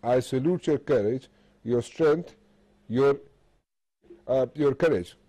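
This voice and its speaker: A man interviewing someone by telephone, putting questions in halting, hesitant phrasing. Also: medium pitch, dark tone, no room sound.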